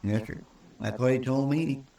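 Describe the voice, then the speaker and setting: An actor playing an earnest, simple frontier townsman in an old radio western, folksy and halting, in a roomy vintage recording. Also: medium pitch, gravelly, mellow, far from the mic, close to an everyday voice. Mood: earnest, deferential.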